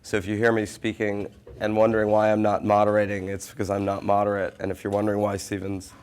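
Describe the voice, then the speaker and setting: A man with a head cold steering a panel conversation, wry and self-deprecating, asking long winding questions. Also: on the low side. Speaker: panel moderator. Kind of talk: panel discussion.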